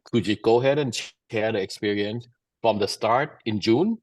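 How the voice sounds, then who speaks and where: A man's voice: on the low side, moderate pace, a little mellow. A man acting as a college recruiting consultant, speaking in a rambling way with many fillers on a webinar. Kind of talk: webinar discussion. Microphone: video call.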